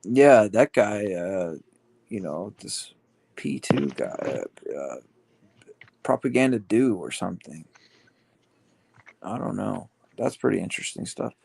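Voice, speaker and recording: A man hosting a casual livestream chat, rambling and halting with many fillers. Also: on the low side, big pitch swings, vocal fry, darkish tone, slightly rough, dry recording. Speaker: livestream host.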